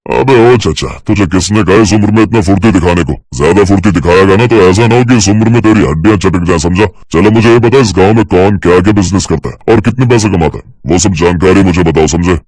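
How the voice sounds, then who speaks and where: A man acts out a corrupt police inspector from a cartoon tale. He is boastful and threatening, with a fairly low voice in a close, dry sound. He orders people around greedily. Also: quick pace, few pauses, darkish tone, no echo. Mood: menacing, boastful. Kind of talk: Hindi cartoon story character voice.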